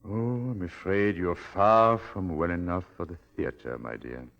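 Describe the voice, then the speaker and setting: An actor playing a suave, manipulative husband in 1940s radio drama style, condescending and commanding by turns. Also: male voice, low-pitched, sing-song, moderate pace, a bit bright, highs cut off, full character voice. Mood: cold, quiet. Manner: smoothly condescending, shifting to stern commands. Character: suave, controlling, manipulative husband and master of the house.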